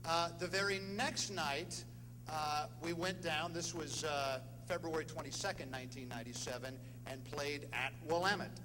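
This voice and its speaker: A man's voice: a little high, moderate pace. A man giving an informal speech as presenter to an audience at a ceremony. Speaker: former radio sports announcer. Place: large room at a banquet event. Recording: noisy echoing hall with hum.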